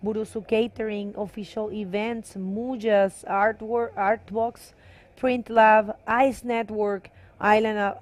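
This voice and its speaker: A woman's voice: medium pitch, flat delivery, slow pace. A woman from Venezuela with a Venezuelan accent tinged with Canarian, giving bilingual live commentary on a bodyboarding contest and switching between English and Spanish. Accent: Venezuelan Spanish, mixed with Canarian.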